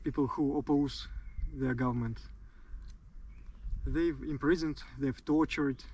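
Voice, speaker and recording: A man's voice: medium pitch, steady tone, slow, darkish tone, far from the mic, slight background noise. A man in his twenties with a Russian accent, answering interview questions in hesitant English and searching for his words. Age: 20s. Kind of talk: casual interview outdoors.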